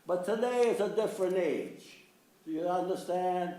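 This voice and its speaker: A man's voice: sits high, moderate pace, dark tone, roomy sound, rough recording. A man in his nineties speaking during public comment at a town meeting, insistently asking his listeners whether they understand. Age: 90s. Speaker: resident giving public comment. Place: municipal meeting room.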